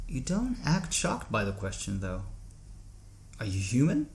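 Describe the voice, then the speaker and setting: An actor playing a curious, troubled driver in an audio drama, conversational, wondering and wary. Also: male voice, mid-range voice, huge pitch swings, measured pace, gravelly, a little mellow, full character voice. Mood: wary, probing. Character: uneasy, questioning man driver, curious and troubled.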